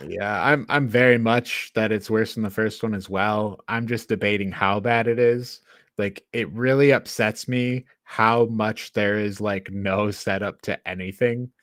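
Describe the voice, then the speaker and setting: A man hosting a casual film review discussion, thinking aloud in an informal, halting way. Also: on the low side, steady tone, fairly bright tone, no room sound, studio quality. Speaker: podcast host.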